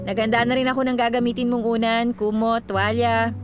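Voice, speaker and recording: An actress with a Filipino accent playing a devoted, hardworking young migrant worker and encouraging best friend in a radio drama. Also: female voice, on the high side, level tone, fairly quick, music in the background, close to an everyday voice. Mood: warm, caring. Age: young adult.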